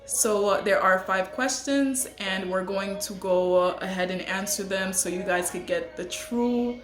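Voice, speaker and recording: A woman's voice: mid-range voice, a little slow, roomy sound, poor audio. A young adult woman who grew up in the United States, a hairstylist chatting casually and laughing often. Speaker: hairstylist and co-host. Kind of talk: casual chat.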